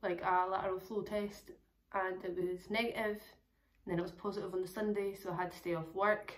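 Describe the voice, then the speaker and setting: A young woman with a hoarse, crackly voice, chatting casually to camera about her travels, rambling with lots of fillers. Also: mid-range voice, steady tone, brisk. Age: young adult. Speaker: travel vlogger. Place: small room indoors at home.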